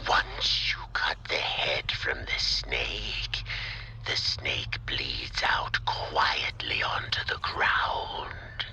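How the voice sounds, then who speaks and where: An actor playing a grave, menacing ruler of a dark cartoon world in a game, in a breathy, whispery voice. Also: male voice, extremely low pitch, expressive, drawn-out words, heavily acted. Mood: cold, menacing. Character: sinister self-styled conqueror of a dark cartoon world. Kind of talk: game monologue.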